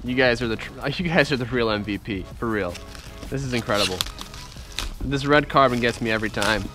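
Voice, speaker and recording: A young man with a congested voice, chatting excitedly to viewers as he works on his car in a driveway, recorded on a head-mounted camera. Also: mid-range voice, brisk, music in the background. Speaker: car vlogger. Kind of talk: casual point-of-view vlog. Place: outdoors in a driveway, working in a car. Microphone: head-mounted action camera.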